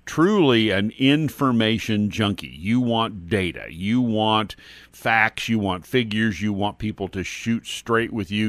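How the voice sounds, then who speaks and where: A man's voice: on the low side, a bit bright, no echo. A man hosting a radio talk show as a dentist, upbeat and playful, speaking straight to his listeners.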